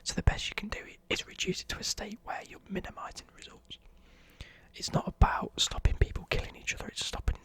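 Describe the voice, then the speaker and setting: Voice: brisk, a bit bright. A young adult whispering a casual, enthusiastic ASMR music review, swearing now and then and muttering lyrics under the breath. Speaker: ASMR vlogger.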